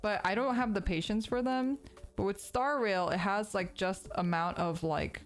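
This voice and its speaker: A woman's voice: medium pitch, moderate pace, soft background music. A woman in her thirties, a streamer chatting casually while she crafts, sounding sniffly and congested, rambling with lots of fillers and swearing. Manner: rambling, profane, filler-heavy.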